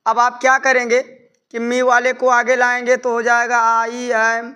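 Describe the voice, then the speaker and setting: Male Indian tutor, bright, crisp voice, step-by-step didactic delivery. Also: extremely high pitch, monotone, unhurried. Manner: didactic, repeats instructions. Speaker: English grammar teacher. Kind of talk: instructional lesson, explaining step by step in Hindi with English terms.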